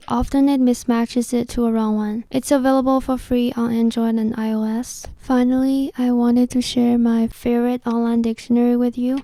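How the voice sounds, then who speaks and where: A woman in her twenties hosting a video with a scripted voice-over, reading in a measured way. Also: fairly high pitch, mellow, no room sound. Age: 20s. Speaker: video host.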